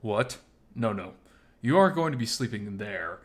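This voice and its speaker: A low-voiced, protective bar owner played by a man in a dramatized story. He speaks gently and calmly, with concern and steady reassurance. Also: normal speed, close to an everyday voice. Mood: calm, reassuring. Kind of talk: dramatized audio story dialogue.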